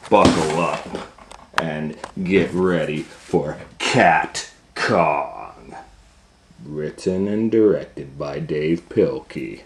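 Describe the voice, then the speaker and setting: A man hosting storytime, reading a children's story aloud in a theatrical way with dramatic pauses and swells. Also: very deep voice, slight background noise. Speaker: storytime reader and host. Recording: roomy, echoing sound.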